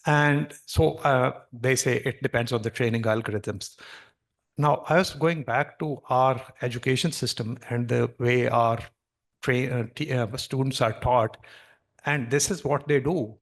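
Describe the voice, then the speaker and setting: A man's voice: mid-range voice, fairly even intonation, a little slow. A man in the audience asking a question at a conference, musing aloud with fillers.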